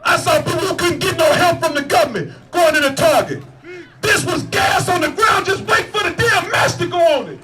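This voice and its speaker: A man running for office, giving a fiery shouted protest speech at a rally with a repetitive, preacher-like cadence. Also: very high voice, lively intonation, moderate pace, soft background music. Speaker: political candidate at a rally.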